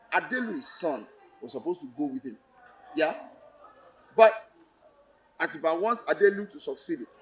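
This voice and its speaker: A man with a Nigerian accent explaining in an interview, deliberate and halting, repeating phrases for emphasis. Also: fairly high pitch, breathy edge, low-quality recording. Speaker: lecturer and play director interviewed.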